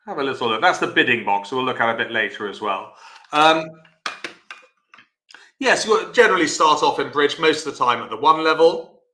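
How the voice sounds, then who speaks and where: A man teaching an online bridge lesson, chatty and encouraging, explaining step by step in a loud voice. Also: medium pitch, expressive, moderate pace, echoey room, slight background noise.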